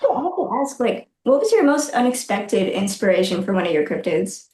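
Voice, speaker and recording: In an echoey room, a woman chats casually as a podcast co-host. Her pitch moves in a lively way and she talks quickly. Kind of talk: casual interview chat.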